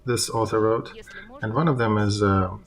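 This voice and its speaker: A man interpreting a political discussion live, in halting phrases as he translates on the fly. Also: on the low side.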